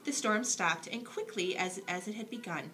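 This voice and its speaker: A woman reads a children's bedtime story aloud in an expressive, dramatic storytelling manner. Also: mid-range voice, normal speed. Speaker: storybook reader.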